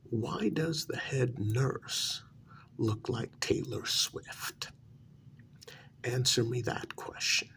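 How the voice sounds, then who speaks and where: A man playing a frustrated, delusional psychiatric patient who thinks he created his world, in a soft-spoken ASMR role-play, breathy and often whispering, with halting, pointed questions. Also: mid-range voice, a little hiss or hum, exaggerated voice. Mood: defensive, probing.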